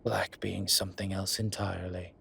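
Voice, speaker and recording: A man playing a suave, vain incubus demon professor in an audio roleplay, speaking in a formal, measured, wryly teasing way. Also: sits low, little pitch movement, creaky, sharp tone, slight background noise, exaggerated voice. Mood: measured, serious.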